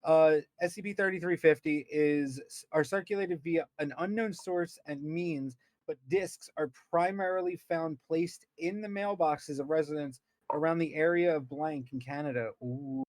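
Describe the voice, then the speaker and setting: A man's voice: a little high, moderate pace, darkish tone, no room sound. A man co-hosting a podcast, reading aloud with casual commentary, stumbling over words and repeating himself as he goes. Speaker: podcast co-host.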